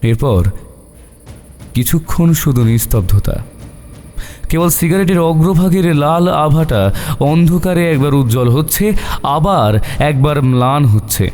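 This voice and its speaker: A man's voice: a little high, unhurried, pauses often, a little mellow, slight background noise. A man giving a dramatic, scripted narration of a horror story. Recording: long reverb over faint music. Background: faint music.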